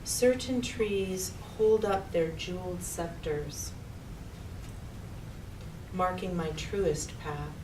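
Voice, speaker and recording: A woman poet reading her own poem at a live reading, deliberately, in short separated phrases. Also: lowish voice. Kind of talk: poetry recitation at a live reading. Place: public library reading room. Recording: echoey room, distant mic, noisy background.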